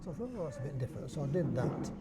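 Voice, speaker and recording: A man's voice: mid-range voice, expressive, racing. An older man from Bradford with a Yorkshire accent, chatting and explaining in an interview. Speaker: dialect society chairman, guest. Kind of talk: casual interview.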